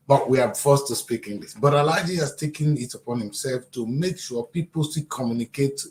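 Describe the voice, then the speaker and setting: A man hosting a TV talk show, animated, with quick interjections and affirmations. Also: mid-range voice, echoey room. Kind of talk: conversational TV interview.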